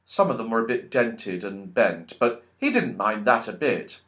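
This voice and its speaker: A man narrating a children's story from a script in an expressive, dramatic storytelling manner. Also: fairly low pitch, moderate pace. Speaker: audiobook narrator.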